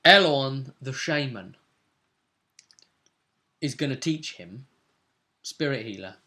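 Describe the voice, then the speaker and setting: A man speaking as game master, casually recapping a role-play session in a halting, emphatic way with repeated phrases. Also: medium pitch, lively intonation, sharp tone.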